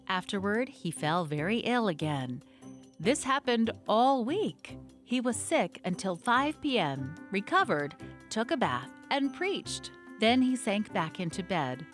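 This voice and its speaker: A woman narrates a scripted story with a lively, animated voice that rises and falls a lot. Her pitch sits in an ordinary middle range. Soft music plays faintly behind her.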